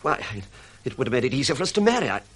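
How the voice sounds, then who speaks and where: Worried bewildered son, hesitant but rushing his words, old radio whodunit. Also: mid-range voice, big pitch swings. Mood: earnest, troubled. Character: bewildered, anxious bereaved son and fiancé. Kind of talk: old-fashioned radio mystery acting.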